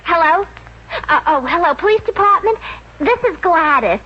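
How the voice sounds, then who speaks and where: A woman's voice: very high voice, lively intonation, moderate pace. An actress playing a naive, cheerful American office girl with ditzy self-assurance in a 1950s radio comedy. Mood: chirpy, confident. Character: scatterbrained, cheerful young office girl named Gladys. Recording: muffled, hissy old radio recording.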